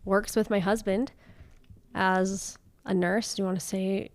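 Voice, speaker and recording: A woman hosting a podcast from her home, chatty and upbeat, her voice a little raspy after a cold. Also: medium pitch, little pitch movement, a little slow. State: recovering from a cold. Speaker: podcast host. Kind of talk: casual podcast chat.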